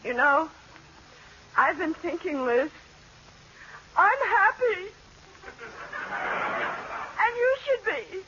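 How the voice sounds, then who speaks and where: An actress playing an indignant, theatrical wife in an old radio comedy. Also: female voice, very high-pitched, sing-song, drawn-out words, long pauses, pushed and bright, slight background noise, exaggerated voice. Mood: forced cheer, tearful. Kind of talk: 1940s-50s radio comedy acting. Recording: muffled old radio broadcast.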